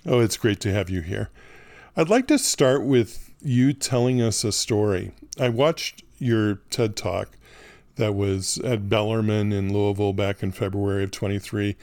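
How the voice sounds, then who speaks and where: A man hosting a podcast, moving between scripted narration and interviewing with deliberate, articulate phrasing. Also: on the low side, a little mellow, no room sound. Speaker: podcast host.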